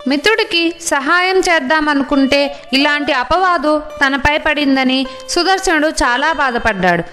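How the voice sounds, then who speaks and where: A woman's voice: high voice, a little slow, fairly bright tone, faint music behind. A woman reading scripted narration for a children's moral story.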